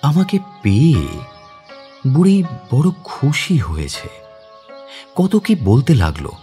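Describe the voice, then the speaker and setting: An actor playing a mild, educated man near forty who narrates his memories in a dramatised literary audio story, in a reflective, expressive way. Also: mid-range voice, slow, soft background music.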